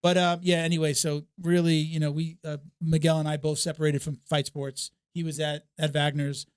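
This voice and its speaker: A man hosting a podcast in casual chat, halting and circling back over his phrases. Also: fairly high pitch, level tone, clear, resonant tone, no echo, studio quality. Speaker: podcast host.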